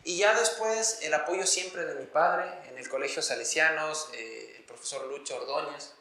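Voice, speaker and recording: A man's voice: fairly high pitch, moderate pace, gravelly, sharp tone, reverberant, rough recording. An Ecuadorian man speaking as an interview guest, explaining his views and experiences in an earnest, articulate, conversational way. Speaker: interview guest, sports journalist.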